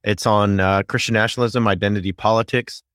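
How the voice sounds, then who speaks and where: A man's voice: fairly low pitch, fairly even intonation, a little fast, a bit bright, clear, resonant tone, dry recording, studio quality. A middle-aged man hosting a podcast, chatting in a friendly, joking way with hesitant fillers. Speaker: podcast host. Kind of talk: casual podcast chat.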